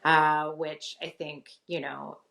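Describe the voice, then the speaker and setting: Low, gravelly-voiced woman, thoughtful. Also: flat delivery, takes it slowly, fairly bright tone. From Costa Rica, raised in the US. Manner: thoughtful, many fillers and restarts. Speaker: guest, theology professor. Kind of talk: conversational interview.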